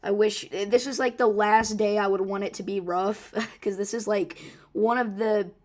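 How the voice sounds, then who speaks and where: A woman hosting a live severe weather stream, her voice hoarse and rough, talking urgently and informally with many fillers and restarts. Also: medium pitch, fairly even intonation, moderate pace, a little mellow. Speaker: severe weather livestream host.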